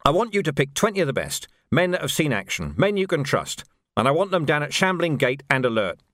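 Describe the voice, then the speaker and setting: A man's voice: fairly high pitch, very animated, a little fast, fairly bright tone, no room sound, pitched above the performer's own voice. A man voicing a world-weary, authoritative watch sergeant in an audiobook, speaking tersely and with dry wit. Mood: firm, commanding. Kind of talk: audiobook character voice.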